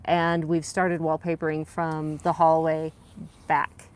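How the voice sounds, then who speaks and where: A woman with a soft voice co-hosting a casual livestream chat, reading viewer comments aloud and thanking them. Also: sits low, monotone, slow pace. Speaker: livestream co-host.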